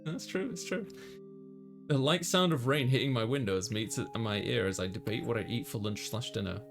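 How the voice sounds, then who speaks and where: Male streamer, medium pitch, casual read-aloud narration of a game, music clearly playing behind. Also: moderate pace. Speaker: gaming streamer narrating visual novel.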